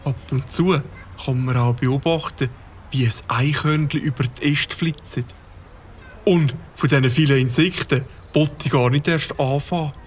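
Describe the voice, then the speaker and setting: A man's voice: medium pitch, a little slow, slight background noise, lightly acted. A man voicing a fussy, learned bird-watcher in a cartoon, speaking German with a Swiss accent and a pompous, long-winded manner. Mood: earnest.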